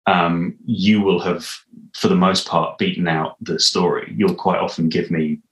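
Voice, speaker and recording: A British man in his thirties giving a casual interview, halting as he searches for words. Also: deep voice, fairly even intonation, a bit bright. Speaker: interviewed audio drama creator and composer. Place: small room indoors. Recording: echoey room on video call.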